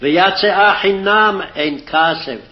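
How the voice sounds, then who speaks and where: A man's voice: sits high, fast. A man formally reciting scripture phrase by phrase, on a dull, lo-fi archival recording. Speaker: scripture reader. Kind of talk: scripted Hebrew Bible reading.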